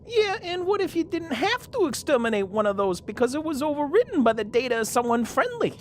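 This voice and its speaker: A woman's voice: high-pitched, very animated, a little fast, slight background noise. A woman voicing a cocky, scrappy male robot rat who needles others in slangy, mocking talk for a fan radio drama. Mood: cocky, needling.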